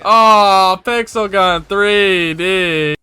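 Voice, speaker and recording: A man gives informal reaction commentary full of slang. His voice is fairly high, bright and clean, recorded dry over faint music. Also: extremely slow, clean tone. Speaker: reaction video host.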